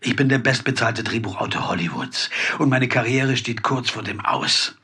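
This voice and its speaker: An actor playing a weary, brooding Hollywood screenwriter under pressure in a radio drama. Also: male voice, medium pitch, normal speed, pushed and bright, slightly rough. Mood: brooding, tense.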